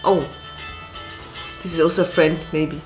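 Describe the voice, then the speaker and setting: Slow-talking woman from Switzerland. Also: low voice, steady tone, over music. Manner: speaks slowly, with many fillers and restarts. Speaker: restaurant owner and actress. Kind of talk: casual interview talk.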